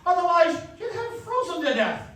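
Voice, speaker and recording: A man playing a blustery, excitable river watchman in a live stage radio play. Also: very high voice, moderate pace, exaggerated voice. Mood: alarmed, fussing. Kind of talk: 1940s radio play acting. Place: live stage performance in a dance hall. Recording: echoey hall, distant, faint noise.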